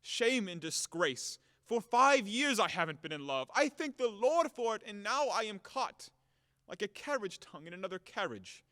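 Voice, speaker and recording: Man playing high-voiced, hot-headed lovestruck gentleman. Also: sing-song, normal speed, exaggerated voice. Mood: fervent, desperate. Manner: melodramatic, declamatory, swinging from bluster to pleading. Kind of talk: theatrical audio play acting. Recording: long hall reverb, sometimes off-mic.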